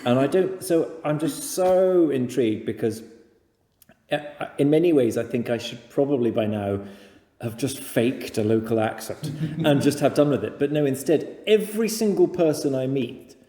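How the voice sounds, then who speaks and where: A middle-aged man with an English accent and a soft, mellow tone speaks in a very reverberant room. He is a talkative guest who tells anecdotes casually and pokes fun at himself as he rambles. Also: mid-range voice, expressive, normal speed. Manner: rambling, self-deprecating, talkative. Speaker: podcast guest. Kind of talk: casual, anecdotal interview chat.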